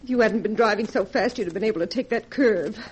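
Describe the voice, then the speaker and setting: An actress in a 1940s radio drama playing a fretful young wife on the run, speaking in a dramatic manner. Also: female voice, medium pitch, quick pace, darkish tone, husky, close to an everyday voice, poor audio. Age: young adult. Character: anxious young fugitive wife, questioning accomplice. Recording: 1940s radio recording, organ music behind.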